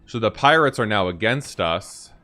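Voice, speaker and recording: A man's voice: lowish voice, animated, normal speed, bright, forceful tone. A man hosting a gaming reaction, commenting casually in an informal, questioning way.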